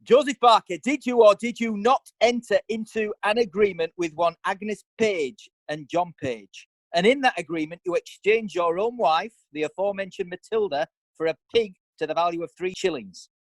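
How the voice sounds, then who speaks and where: A man's voice: high voice, moderate pace, no echo, natural voice, poor audio. A man playing an indignant medieval lawyer who argues in a pompous, theatrical way. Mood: scornful. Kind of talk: amateur radio drama.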